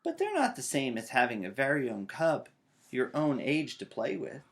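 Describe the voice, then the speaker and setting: A man's voice: mid-range voice, wildly swooping pitch, moderate pace, fairly bright tone, a little hiss or hum. Man reading as a lilting, kindly mama bear. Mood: concerned. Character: concerned, kindly mother bear.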